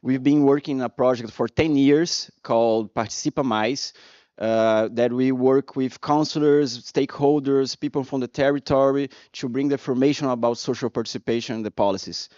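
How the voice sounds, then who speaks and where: A man from Brazil, speaking English on a panel in a halting way with restarts and fillers. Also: mid-range voice, steady tone, a little mellow, dry recording. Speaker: panel speaker interpreting for a colleague. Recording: dry, dull lo-fi conference recording.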